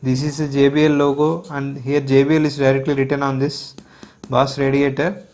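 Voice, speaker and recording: Evenly pitched Indian man reviewing a product. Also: little pitch movement, normal speed, a little mellow. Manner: explanatory, methodical, repetitive phrasing. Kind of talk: unboxing and product walkthrough narration.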